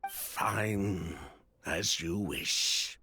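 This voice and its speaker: An actor playing a bitter, guarded middle-aged former perfume trader in a video game, telling his story in a measured, dramatic way. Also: sits low, pushed and bright, slightly rough, full character voice. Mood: resigned, bitter. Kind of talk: video game dialogue, recounting the past.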